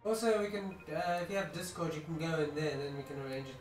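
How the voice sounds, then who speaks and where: A streamer rambles through a gaming session, reading out viewer messages between thoughts. The voice sits in a roomy, echoing space. Game music plays clearly underneath. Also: male voice, on the high side, steady tone, quick pace. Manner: rambling, reads chat messages aloud. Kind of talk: casual gaming chat.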